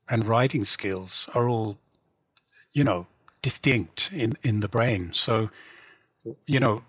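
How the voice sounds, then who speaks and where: Male interview guest, middle-aged, English accent, husky tone, reflective and hedging, very dry recording. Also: mid-range voice, no echo. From England. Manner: reflective, hedging, frequent 'you know'. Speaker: guest, writer and literary translator. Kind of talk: conversational interview.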